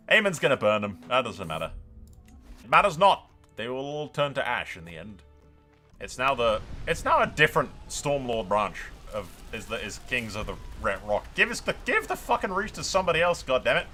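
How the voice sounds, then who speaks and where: A man giving casual, excited gameplay commentary as a streamer, with sweary, theatrical banter. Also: medium pitch, moderate pace. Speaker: game streamer narrating a strategy role-play. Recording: music behind the voice. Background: music.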